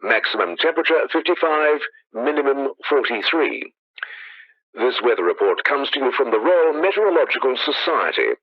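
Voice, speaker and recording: A man acting a formal, measured 1930s radio news announcer in a radio play. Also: mid-range voice, lively intonation, lightly acted. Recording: dull lo-fi radio sound.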